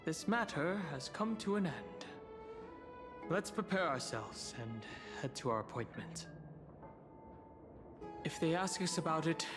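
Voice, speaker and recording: Level-headed young traveler; measured, a touch high. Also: male voice. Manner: measured, polite and reflective. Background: game music.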